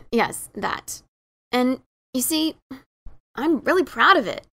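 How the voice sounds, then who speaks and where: An adventurous pegasus pony author, played by a woman in a fan audio drama. The voice is high and forceful with a crackly edge. She is emphatic and defensive, and stumbles when things get awkward. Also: lively intonation, slow, husky, heavily acted. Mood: uneasy, explaining. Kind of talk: fan dramatic reading of a story.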